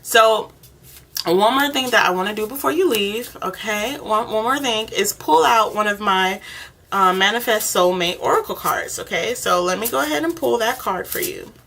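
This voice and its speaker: Casting: woman as a tarot reader, bright forward voice, chatty and encouraging, talking directly to one client. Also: medium pitch, expressive, normal speed, gravelly, a little hiss or hum. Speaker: tarot reader, channel host. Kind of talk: role-play tarot reading addressed one-to-one to the viewer.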